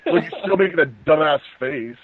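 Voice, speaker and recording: A man riffs casually on a film with friends, his pitch jumping around freely. His voice is a little husky. It comes through thin and narrow, like a phone line. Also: lively intonation, fairly quick, no echo. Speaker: co-host. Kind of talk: casual joking film commentary among friends. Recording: tinny, band-limited voice-call audio.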